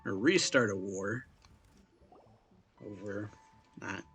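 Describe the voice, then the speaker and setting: A man giving casual, laid-back gaming commentary as a reaction streamer, halting and trailing off. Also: fairly low pitch, fairly bright tone, soft background music.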